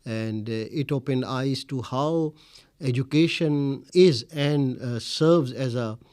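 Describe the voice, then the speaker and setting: A middle-aged man from India whose first language is Urdu, giving measured, formal interview answers with frequent pauses. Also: mid-range voice, darkish tone, smooth, dry recording, clean studio sound. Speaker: interviewee, scholar.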